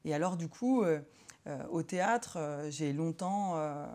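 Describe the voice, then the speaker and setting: A woman psychologist reflects in a personal interview, her voice mellow and a little low. She speaks hesitantly, filling her many pauses and restarts as she looks for the right words. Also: expressive.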